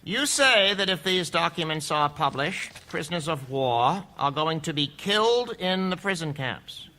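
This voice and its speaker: An actor playing a skeptical judge who questions a witness in a courtroom radio drama. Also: male voice, fairly high pitch, lively intonation, pushed and bright, full character voice. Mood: probing. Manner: measured, questioning. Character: probing, skeptical male judge.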